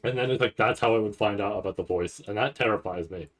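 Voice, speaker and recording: A man giving casual, rambling live commentary as a streamer, halting and restarting often as he thinks aloud. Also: on the low side. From Canada. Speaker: streamer reacting to a live anime event.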